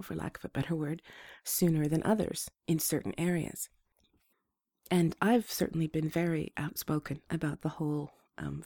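A woman with a rough voice, hoarse from a flu, hosting a gentle and reassuring advice talk to her listeners. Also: sits low, dry recording, studio quality. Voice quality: rough, slightly congested voice. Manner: gentle, reassuring, restarts phrases. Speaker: advice show host.

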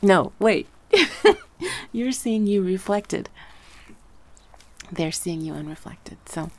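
A woman talking softly to the listener, drifting in and out of whispers. Her voice carries some gravelly fry and a touch of congestion from a cold. Her pitch sits in a normal middle range. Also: sing-song, slow pace, dry recording. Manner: gentle, mixes soft speech with whispers. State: a little congested, fighting a cold. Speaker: voice performer. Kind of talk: soft-spoken ASMR roleplay chat.

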